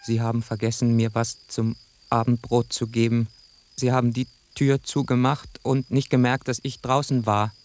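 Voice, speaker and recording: An actor playing a timid, bewildered young pet tomcat, polite, naive and easily panicked, in a radio drama. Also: male voice, medium pitch, little pitch movement, moderate pace, darkish tone, soft background music, lightly acted. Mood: dazed, frightened. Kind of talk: German radio drama acting.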